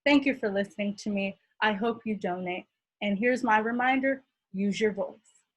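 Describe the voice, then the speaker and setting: A woman's voice: mid-range voice, moderate pace, dull audio. A teenage girl from California giving an earnest, impassioned prepared speech as a student speaker. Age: teens.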